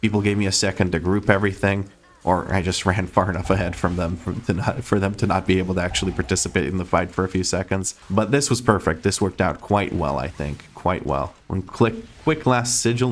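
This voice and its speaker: Gamer man, deep, slightly hoarse, casual play-by-play over faint hiss. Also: a little fast. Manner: rambling, self-correcting play-by-play. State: losing his voice. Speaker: game streamer. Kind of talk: live gameplay commentary.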